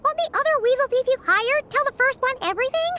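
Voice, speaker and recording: A woman voicing a tiny, chirpy, childlike companion creature in a video game, asking quick curious questions. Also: animated, sharp tone, faint music behind, heavily acted. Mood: puzzled. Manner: quick, squeaky, inquisitive.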